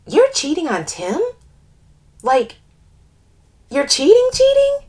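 Lady doing a loudmouth dramatic gal pal, swears tons, super animated and high, audiobook dialogue. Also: slow pace, heavily acted. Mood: incredulous. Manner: sweary, exclamatory, stammers when flustered. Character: brash, foul-mouthed, dramatic woman friend, alarmed and blunt.

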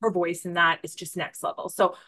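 A woman's voice: on the low side, flat delivery, brisk, sharp tone. A woman hosting a casual podcast interview, talking in an effusive, emphatic way and rambling off on tangents. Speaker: podcast host.

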